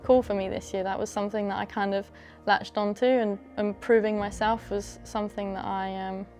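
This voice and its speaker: A young adult woman racer reflecting in a documentary interview, self-described as having a monotone voice. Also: mid-range voice, moderate pace, faint music behind. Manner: reflective, says her voice is monotone.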